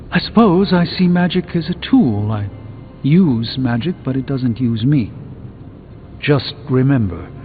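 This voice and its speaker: An actor playing an erudite, pompous sorcerer with a dry, articulate wit in a video game. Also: male voice, mid-range voice, natural voice. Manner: pompous, dryly witty, articulate. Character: erudite, haughty, wry male sorcerer. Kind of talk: video game character dialogue. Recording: muffled phone-like game audio under music.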